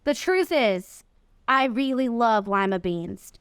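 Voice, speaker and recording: Woman reading as a high-voiced stubborn little girl. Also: animated, slow pace, clear, resonant tone, no room sound, studio quality. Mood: pleading, confessing. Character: stubborn, self-conscious young schoolgirl.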